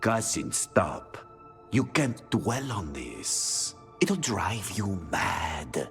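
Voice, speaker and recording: A burdened, withdrawn assassin in a video game. His speech is very slow and deep, evasive in manner, and swings widely in pitch. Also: sing-song, creaky, soft background music, full character voice. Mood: defensive, weary.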